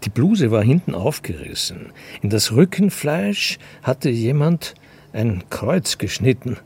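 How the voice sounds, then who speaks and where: An actor narrating a crime story in a scripted radio drama. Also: male voice, medium pitch, very animated, a little slow, a little mellow, breathy edge, full character voice. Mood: measured narrating.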